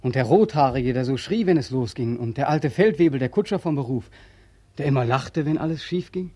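Despairing young ex-soldier, played by a man, husky mid-range voice, embittered and agitated, 1940s radio drama. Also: mid-range voice, expressive, brisk, mellow, no echo, lightly acted. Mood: wary, questioning. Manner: agitated, repetitive, anguished.

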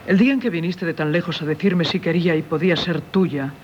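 A woman's voice: lowish voice, fairly quick, darkish tone, natural voice. An actress with a Castilian Spanish accent playing a tormented married woman haunted by a former lover, in solemn, confessional radio theatre style. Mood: grave, confessional. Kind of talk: 1970s radio theatre acting. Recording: dull, noisy 1970s radio recording.

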